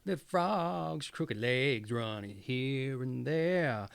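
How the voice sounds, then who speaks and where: A man's voice: mid-range voice, animated, drawn-out words, creaky, clear, resonant tone, dry recording, heavily acted. A man voicing a large old mother frog in a storybook reading, commanding but obliging, with a sing-song manner. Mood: commanding, chanting.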